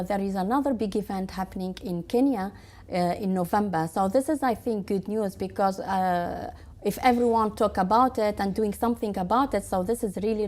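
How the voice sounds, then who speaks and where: A woman from Tunisia answering interview questions in quick, halting full of restarts and fillers. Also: medium pitch, a little mellow. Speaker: guest.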